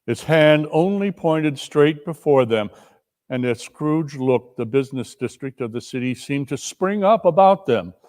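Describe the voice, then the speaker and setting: Slow, measured male storyteller. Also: fairly high pitch, animated, a little mellow. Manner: measured, emphatic phrasing. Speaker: dramatic reader. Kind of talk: dramatic story narration.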